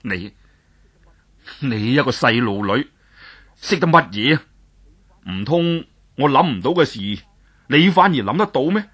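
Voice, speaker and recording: A tired, gruff warrior, as a storyteller voices him, speaking low and sighing. The voice is husky and rough-edged, plain-spoken and soft-hearted underneath. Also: male voice, big pitch swings, unhurried, long pauses, full character voice. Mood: weary. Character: weary tough warrior man, gruff but soft-hearted.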